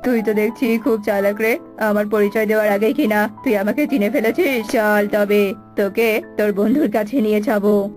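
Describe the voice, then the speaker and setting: A woman's voice: medium pitch, steady tone, normal speed, darkish tone, faint music behind, pitched below the performer's own voice, natural voice. A woman voicing a menacing male demon in a children's fairy-tale cartoon, gloating and bullying as he threatens. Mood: mocking. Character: menacing male demon who kidnaps bird chicks.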